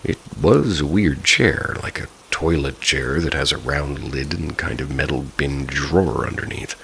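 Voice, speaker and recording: Very deep, gravelly male storyteller over rain. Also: a little slow, slight background noise, rough recording. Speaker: narrator reading listener-submitted ghost stories. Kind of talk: scripted first-person story narration for sleep. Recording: steady rain ambience behind the voice. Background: rain falling.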